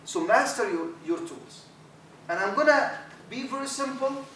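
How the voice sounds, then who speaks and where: A middle-aged Arabic-accented man teaching a workshop as an imam, his voice high and animated, words often repeated. He is picked up from across an echoey lecture room. A faint hiss sits under the sound. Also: a little slow, distant mic. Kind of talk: workshop talk to trainees.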